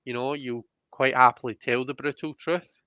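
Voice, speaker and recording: A man's voice: medium pitch, steady tone, normal speed, sharp tone, smooth, no room sound. Man explaining tarot, slightly muffled dry sound. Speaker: tarot reader. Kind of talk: conversational tarot card reading.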